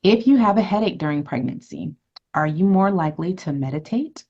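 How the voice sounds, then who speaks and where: A woman physician hosts an informational webinar in a low, easy voice. She talks through slides conversationally, fielding questions and running polls. The audio is a little muffled, like a computer microphone on a video call. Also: expressive, brisk, a little mellow. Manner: friendly, conversational, answers questions and runs polls. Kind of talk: educational webinar presentation.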